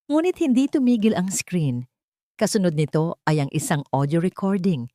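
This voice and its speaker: A woman's voice: very animated, moderate pace, dark tone, no room sound, studio quality. A woman with a Filipino accent narrating a dramatic audio story in the first person, lifting her voice for the characters' lines. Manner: rises in pitch and volume for quoted dialogue.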